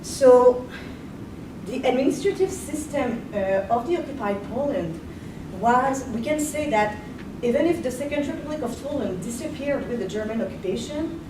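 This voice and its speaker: A young woman giving a history lecture, partly read from notes, measured and earnest, stopping now and then to rephrase. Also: high-pitched, lively intonation. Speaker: guest lecturer. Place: lecture room. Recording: echoey room, far mic, background noise.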